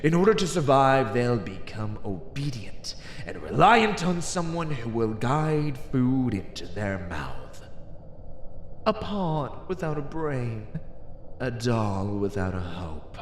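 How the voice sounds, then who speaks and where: Man playing an arrogant villain, slow and theatrical. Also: mid-range voice, big pitch swings, echoey room, big-room sound, slight background noise. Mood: coldly menacing. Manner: mocking, condescending, theatrical, drawn-out emphasis. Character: arrogant, cynical male fantasy villain taunting a hero. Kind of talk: theatrical ASMR villain roleplay monologue.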